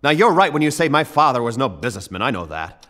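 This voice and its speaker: A man's voice: medium pitch, very animated, quick pace, pushed and bright. An actor playing an earnest young man in a radio play, stammering and emphatic. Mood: impassioned, defiant. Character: earnest, impassioned young man defending ordinary townsfolk. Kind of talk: theatrical radio play acting.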